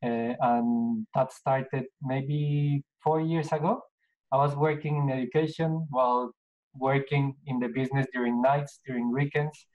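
A middle-aged man from Colombia with a Colombian Spanish accent, answering interview questions in a casual, halting way with many restarts and fillers. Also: mid-range voice, little pitch movement, narrow, tinny audio. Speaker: podcast guest, business owner.

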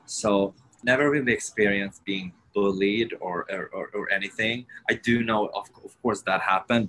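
Soft-spoken man. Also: fairly low pitch, slight background noise, low-quality recording. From Sweden. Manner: soft-spoken, halting, many restarts. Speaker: guest, author. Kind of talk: reflective interview storytelling.

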